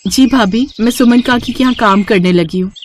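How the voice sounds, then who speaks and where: A woman's voice: a little high, normal speed, slight background noise, lightly acted. A woman voicing a poor, hardworking young woman in a cartoon story, speaking earnestly and respectfully. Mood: earnest, polite. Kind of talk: acted dialogue in a Hindi cartoon story.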